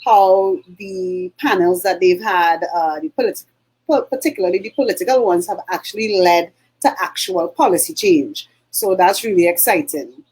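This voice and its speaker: A woman's voice: lowish voice, normal speed, a little mellow, rough recording. Chatty Trinidadian woman co-host. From Trinidad and Tobago. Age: middle-aged. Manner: chatty, many fillers and throat clearing. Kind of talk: casual chat.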